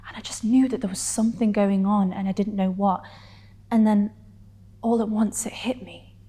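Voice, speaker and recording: A woman's voice: mid-range voice, steady tone, warm tone, lightly acted. Hurt, defiant woman, acted out in reverberant room. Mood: confessional, tender. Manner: intense, halting, drops to breathy whispers. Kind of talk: dramatic stage monologue.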